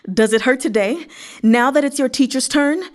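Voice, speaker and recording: A woman's voice: sits high, a little slow, voice pushed up. A woman voicing a cruel, sneering male villain with mocking, domineering delivery in a historical novel read-aloud.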